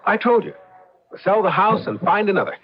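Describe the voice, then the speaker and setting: Young husband, earnest and hesitant, husky with lively pitch, for a vintage radio drama. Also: male voice, dull audio, exaggerated voice. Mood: reassuring. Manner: hesitant, halting. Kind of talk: old-time radio drama acting.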